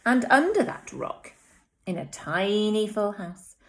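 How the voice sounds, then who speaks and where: Female infant teacher, English accent, reading to little ones; mid-pitched, very varied intonation, dramatic delivery. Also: slow pace. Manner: expressive, dramatic storytelling. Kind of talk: reading a picture book aloud to young children.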